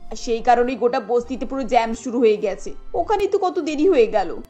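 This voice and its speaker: A woman's voice: a little high, lively intonation, a little fast, dark tone, lightly acted. A woman playing a haughty, imperious middle-aged rich mother in a cartoon, scolding with snobbish indignation. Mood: disgusted, indignant. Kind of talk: cartoon dialogue acting. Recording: light music under the voice.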